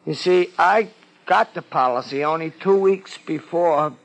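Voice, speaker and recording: Weepy American simpleton, comic relief in vintage radio detective drama, highish pitch, slow plaintive delivery. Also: male voice. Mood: worried, pleading. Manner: plaintive, simple, ungrammatical speech. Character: weepy, dim-witted, pitiful male client, comic sad sack. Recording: vintage 1950s radio recording.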